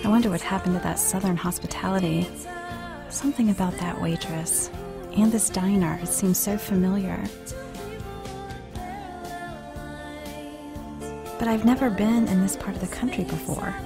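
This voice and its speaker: A woman's voice: mid-range voice, fairly quick, lightly acted. A woman playing a polite, genteel television actress in an amateur audio play. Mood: musing. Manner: polite, refined. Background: music.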